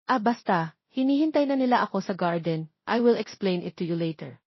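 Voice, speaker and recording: A woman's voice: medium pitch, lively intonation, brisk, slightly rough, no echo, dull audio. A woman voicing a stern, authoritative wealthy man in a narrated love story. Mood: insistent. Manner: authoritative, curt. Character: stern, curt billionaire man feigning blindness.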